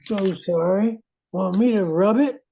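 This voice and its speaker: A man playing a gruff but good-souled Cajun shrimp boat captain in an amateur radio play. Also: high-pitched, a little slow, warm tone. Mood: friendly.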